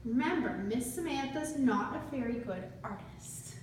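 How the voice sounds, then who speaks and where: High-pitched woman teacher in an echoey room. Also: lively intonation, a little slow. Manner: simple instructional phrasing, often prompting listeners with questions. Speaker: student teacher reading aloud to a class. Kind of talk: read-aloud reading lesson for young children. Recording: very echoey room, distant mic, faint noise.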